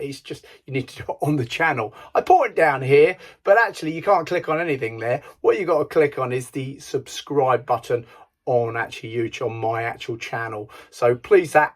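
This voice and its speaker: A man with a croaky voice, hosting a casual tool review video in a chatty, rambling way and laughing at himself. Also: a little high. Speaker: YouTube channel host.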